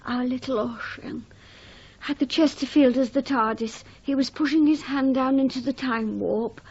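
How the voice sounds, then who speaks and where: A woman's voice: sits high, normal speed, darkish tone, breathy edge. An actress in a radio drama playing a fragile, needy abandoned wife and mother with a plaintive, self-pitying manner. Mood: hurt, plaintive. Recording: dull muffled recording.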